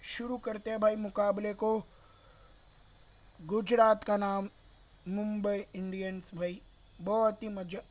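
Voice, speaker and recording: A man from Mumbai streaming a cricket video game, slightly hoarse from a sore throat, thinking aloud and calling his viewers "bro" in nearly every phrase. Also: sits high, level tone, slow, long pauses, darkish tone, rough recording. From Mumbai, India. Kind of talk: live gameplay stream chat.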